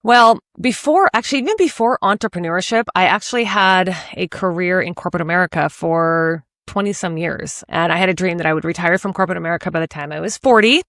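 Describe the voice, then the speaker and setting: A woman in her forties from Washington state, telling her story as a podcast guest in an animated, energetic way. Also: on the low side, quick pace, hardly any pauses, no echo, studio quality. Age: 40s. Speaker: podcast guest, real estate agent. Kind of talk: casual interview chat.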